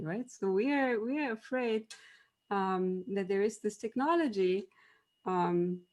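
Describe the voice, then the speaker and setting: A German-accented woman speaks as a university lecturer on a debate panel. She explains and tells a story, but her delivery halts often with ums, repeats and restarted phrases. Her pitch sits in an ordinary middle range for a woman. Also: a little mellow. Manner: hesitant, many ums and restarts. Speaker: university machine learning lecturer. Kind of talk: debate panel opening remarks.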